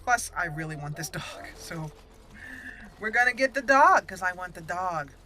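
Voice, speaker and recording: Bright, chatty woman gamer. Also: on the low side, animated, normal speed, a little hiss or hum. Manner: chatty, giggly, playful exclamations. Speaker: let's play host. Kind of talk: casual gameplay commentary.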